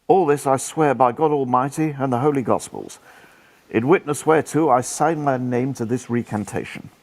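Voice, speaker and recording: A man's voice: medium pitch, normal speed, natural voice. A man playing a devout, earnest medieval friar in a radio play, speaking formally and solemnly. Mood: solemn reading. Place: actor's home, live remote recording.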